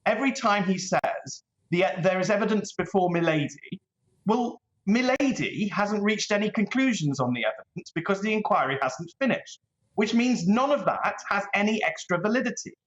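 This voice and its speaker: High-voiced British man, echoey dull link. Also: lively intonation, moderate pace, a bit bright. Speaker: barrister, guest commentator. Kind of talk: interview commentary.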